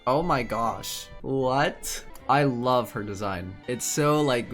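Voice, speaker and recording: A man's voice: mid-range voice, slow, a bit bright, soft background music. A man giving casual playthrough commentary as a gaming streamer, reacting aloud and musing in questions.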